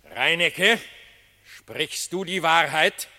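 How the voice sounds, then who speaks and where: A man's voice: a little high, drawn-out words, bright, forceful tone, full character voice. An actor playing a stern, majestic animal king in a verse radio drama, speaking in imperious declamation. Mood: stern, questioning. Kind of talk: classic German verse radio drama acting. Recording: long hall reverb.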